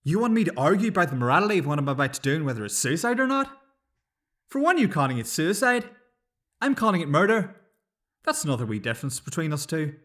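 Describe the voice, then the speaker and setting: A man's voice: a little high, sing-song, fast talker, fairly bright tone, pitched above the performer's own voice. A man playing an intense, resolute republican militant with a Northern Irish accent in dramatic film dialogue. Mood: resolute, defiant.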